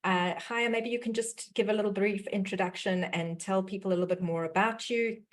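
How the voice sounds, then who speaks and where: A woman with a South African accent hosts a webinar over a video call. Her voice sits at a middle pitch, and she talks in long, earnest sentences that run on. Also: steady tone, a little fast. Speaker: webinar host, dietitian. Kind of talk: webinar introduction.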